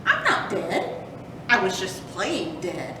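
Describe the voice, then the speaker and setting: A woman's voice: huge pitch swings, slow. A woman voicing a playful, eager young boy possum in a children's storybook. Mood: cheerful, friendly. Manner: eager, pleading, carefree. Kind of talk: children's storybook read aloud. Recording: roomy, distant mic with rumbling noise.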